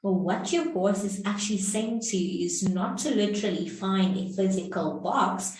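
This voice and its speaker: A South African woman teaching English business expressions in a slow, explanatory way, repeating her points. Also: mid-range voice, a little mellow, distant mic. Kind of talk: online lesson. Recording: very echoey room, off the mic.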